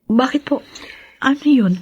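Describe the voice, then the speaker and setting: A woman's voice: high voice, a little slow, a little mellow, husky, lo-fi sound. An actress playing a wronged young woman seeking justice in a Filipino radio drama, earnest and emotional. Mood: anxious.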